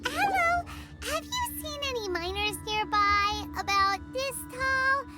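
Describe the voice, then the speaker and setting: Teeny fairy sidekick, super high and bouncy, bossy, loud game music behind. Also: female voice, animated, takes it slowly, heavily acted. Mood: eager. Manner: squeaky, chattery, talks about herself in third person. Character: tiny floating fairy companion girl, excitable, bossy. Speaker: travel companion. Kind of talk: video game voice acting.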